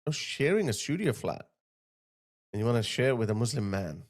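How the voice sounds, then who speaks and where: A man's voice: very animated, a little fast. A man from Pakistan giving emphatic, sarcastic opinion commentary. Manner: emphatic, sarcastic, big pitch swings.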